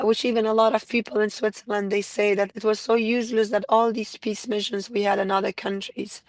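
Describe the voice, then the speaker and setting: A woman from Afghanistan speaking earnestly as an interview guest, pressing her points and often restarting her sentences. Her voice sits a little high but stays flat and even. The sound is dry and close. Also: no room sound. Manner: earnest, insistent, with frequent restarts. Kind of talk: interview, political commentary.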